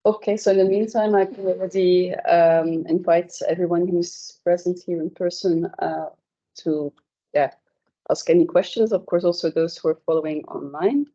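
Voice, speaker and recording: A woman's voice: fairly low pitch, fairly even intonation, moderate pace, warm tone. A woman moderating a seminar, inviting questions politely with a few fillers. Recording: muffled lo-fi recording.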